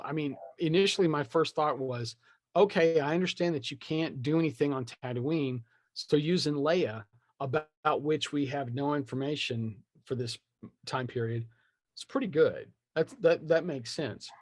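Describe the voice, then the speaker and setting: A man's voice: fairly high pitch, moderate pace, no echo. A man in a casual podcast chat, opinionated and rambling as he thinks aloud. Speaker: podcast speaker discussing film franchises.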